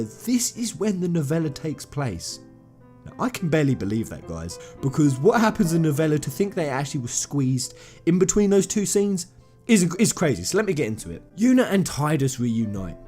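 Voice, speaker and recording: A man's voice: fairly high pitch, sing-song, normal speed, a little mellow. A man speaking informally and enthusiastically as a video game commentator, stressing and repeating phrases as he retells a story to viewers. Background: background music.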